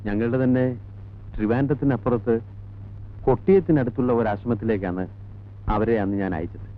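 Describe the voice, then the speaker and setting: An actor playing a formal, guarded head monk of an ashram in a 1980s film drama, speaking in a measured, officious way. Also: male voice, medium pitch, lightly acted. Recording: tinny band-limited old film soundtrack.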